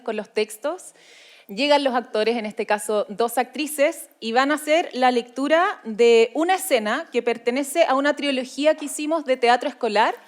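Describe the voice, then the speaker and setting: A Chilean woman presenting informally as co-host of a live event. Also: high-pitched. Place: live event before a seated audience.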